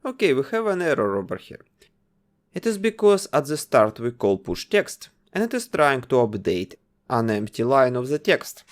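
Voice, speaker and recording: Man with a lively voice narrating a coding tutorial. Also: mid-range voice, normal speed. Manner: explains code step by step while testing it. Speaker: game development tutor. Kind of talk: screen-recorded coding tutorial.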